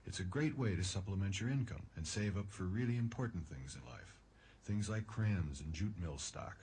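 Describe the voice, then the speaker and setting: A low-voiced man playing a deadpan underground tipster pitches soda in an old radio commercial. He sounds mock-earnest, with faint noise on the recording. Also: moderate pace.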